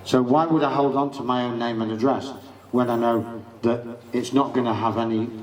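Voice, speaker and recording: A man's voice: medium pitch, steady tone, normal speed, slight background noise. Man, echoey distant recording. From England. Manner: emphatic, acts out quoted exchanges. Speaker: speaker giving legal talk. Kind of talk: informal lecture. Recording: echoey room, speaker away from mic.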